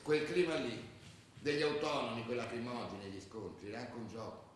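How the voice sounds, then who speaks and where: An actor as a fast-talking, streetwise ex-rebel, echoey. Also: male voice, mid-range voice, natural voice. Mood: nostalgic, excited. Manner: colloquial, tumbling storytelling. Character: streetwise male ultra looking back on rebellious militant youth. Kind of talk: theatrical radio drama monologue. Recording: very echoey, distant, faint noise.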